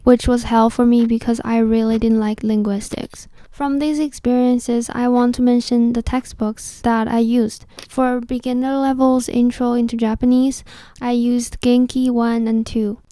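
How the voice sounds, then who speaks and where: A young woman narrates a scripted voice-over in a fairly high, mellow voice. Her delivery is measured and very even, with little rise or fall, as if reading from prepared notes. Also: no room sound. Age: 20s. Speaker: video host.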